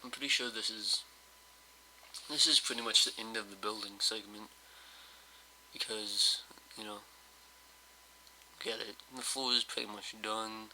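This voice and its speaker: Flat, rambling man, slightly hoarse. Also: lowish voice, measured pace, pauses often, a little hiss or hum, low-quality recording. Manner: rambling, hesitant, full of fillers. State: slightly hoarse, just woke up. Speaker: let's play host. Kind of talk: casual gameplay commentary.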